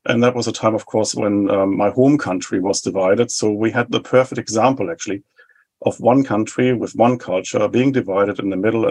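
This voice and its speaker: A man in his forties from West Germany with a German accent, explaining public policy in measured, list-like answers as an interview guest. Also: on the low side, darkish tone. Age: 40s. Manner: measured, explanatory, rhetorical lists. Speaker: think tank head, guest.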